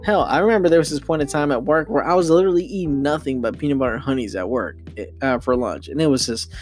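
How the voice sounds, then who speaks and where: A young man hosting a solo podcast, rambling excitably with casual slang and frequent stumbles and restarts. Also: on the high side, quick pace, faint music behind. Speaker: podcast host.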